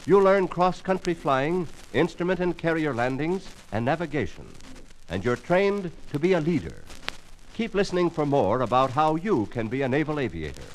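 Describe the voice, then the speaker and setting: A man's voice: on the high side, sing-song, normal speed, rough recording. An American man announcing a 1950s radio show in a formal, emphatic, scripted style, on a vintage recording.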